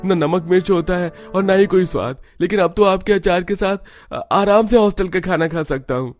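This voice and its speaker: A man voicing an eager, chatty young male student who flatters as a customer in a Hindi cartoon story. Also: high voice, moderate pace, soft background music, lightly acted. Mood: pleased, eager.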